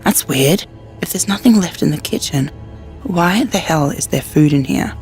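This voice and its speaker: A woman's voice: low voice, expressive, moderate pace, darkish tone, soft background music. An actress playing an uneasy, questioning female survivor in a dystopian audio drama. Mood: puzzled. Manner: hesitant, questioning.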